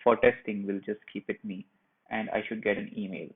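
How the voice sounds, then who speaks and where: A man presenting a software tutorial, narrating each step of a screen share in a plain, instructional way. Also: lowish voice, fairly even intonation, a little fast. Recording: muffled phone-like narrow band.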